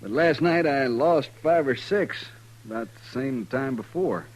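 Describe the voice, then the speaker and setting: An actor playing a modest small-time cattle rancher in a 1950s radio western, with a plain, reserved Western American way of speaking. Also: male voice, medium pitch, measured pace, close to an everyday voice. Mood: matter-of-fact.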